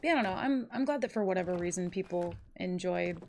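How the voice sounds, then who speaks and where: A woman live streamer with a somewhat low, congested voice that sounds stuffy. She rambles casually through chat messages, full of fillers and swearing. A faint hiss sits under the recording. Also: fast, a little mellow. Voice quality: nasal, congested. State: stuffy nose.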